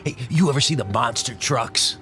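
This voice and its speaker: An actor playing a casual, teasing twenty-two-year-old worker in a radio drama. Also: male voice, medium pitch, expressive, normal speed, bright, forceful tone, faint music behind. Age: 20s. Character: young male worker, twenty-two, easygoing.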